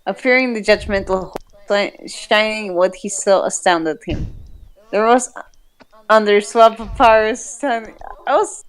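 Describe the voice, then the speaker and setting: A woman's voice: mid-range voice, unhurried, smooth, poor audio. A woman reading fan fiction aloud in a casual, dramatic way, giggly and often breaking off to joke. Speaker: fan fiction reader, co-host.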